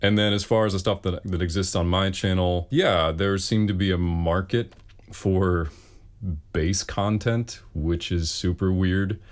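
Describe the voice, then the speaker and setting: A man with a very deep bass voice, a singer casually answering questions with wry, self-deprecating humor. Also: fairly even intonation, unhurried. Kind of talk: casual Q and A talk.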